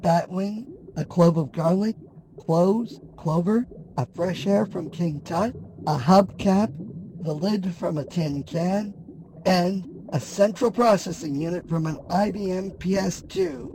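A man's voice: high-pitched, slow, dark tone. Man acting a wicked, vain sorceress over noise. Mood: incanting. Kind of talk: fantasy audio drama. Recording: noisy with ambient sound behind.

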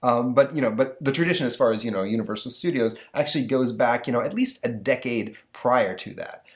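A man's voice: mid-range voice, fairly quick. A man hosting a podcast, chatting casually with lots of fillers and restarts. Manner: rambling, many fillers and restarts. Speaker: podcast host. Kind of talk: casual chat.

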